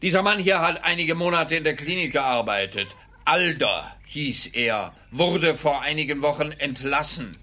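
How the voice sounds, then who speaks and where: A brisk man passing on case details in a radio drama. His voice is bright and forceful, a little high for a man. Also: expressive. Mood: matter-of-fact. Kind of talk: German-language radio play acting.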